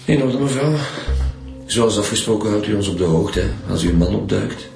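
An actor with a Flemish accent playing a calm, polite male police detective who questions a witness. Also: lowish voice, moderate pace, gravelly, reverberant, faint music behind. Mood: probing. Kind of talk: radio drama acting.

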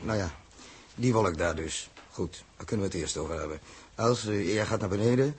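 An actor playing a flustered, mildly pompous spaceship captain in a 1960s Dutch radio drama, hesitant and repeating himself. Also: male voice, fairly low pitch, brisk, a little hiss or hum, close to an everyday voice. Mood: hesitant, organising. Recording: slightly muffled old radio drama recording.

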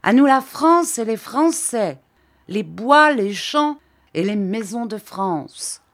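A woman's voice: a little high, big pitch swings, slow, pitched above the performer's own voice, heavily acted. A woman voicing a young, arrogant male Prussian officer who mocks and boasts in a story reading. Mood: boastful. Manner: sneering, laughing, boastful.

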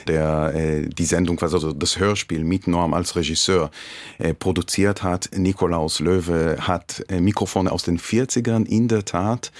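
A man's voice: low voice, darkish tone, no room sound. A man in his forties from Israel, an author giving spontaneous answers in an interview. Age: 40s.

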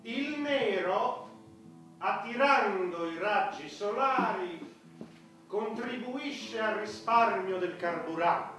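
A man's voice: high-pitched, animated, takes it slowly, bright, forceful tone, soft background music, exaggerated voice. An actor playing a pompous, cynical male head of state in satirical radio theatre, declaiming with self-important authority. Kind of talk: satirical stage-style radio theatre acting. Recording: echoey, noisy hall, far from the mic.

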